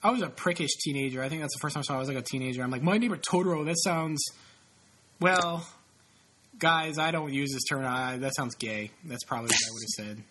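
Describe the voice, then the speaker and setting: A man's voice: medium pitch, steady tone, fast, bright, forceful tone, a little hiss or hum. A man in his late twenties with a stuffy, sniffly nose, chatting casually in a rambling, profane, self-mocking way. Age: late 20s. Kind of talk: casual chat.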